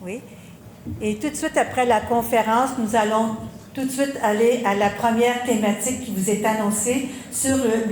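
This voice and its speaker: A woman with a Quebec French accent introducing herself and the next speaker, halting and full of fillers. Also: fairly high pitch, darkish tone. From Quebec, Canada. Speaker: conference moderator and expert. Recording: echoey hall with faint noise.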